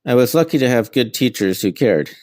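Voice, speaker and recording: A man's voice: mid-range voice, animated, brisk, darkish tone, no room sound, clean studio sound. A middle-aged man being interviewed as an author, giving answers about their own work.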